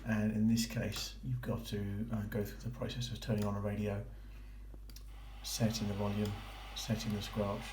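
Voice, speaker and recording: Low, even-toned man, roomy sound. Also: moderate pace. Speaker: vlogger documenting a boating course. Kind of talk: casual explanatory walkthrough. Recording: roomy echo with faint noise.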